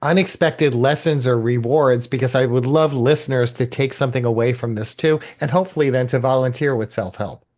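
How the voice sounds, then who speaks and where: Man, podcast host, measured, asks prepared questions. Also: mid-range voice, darkish tone. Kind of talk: interview questions.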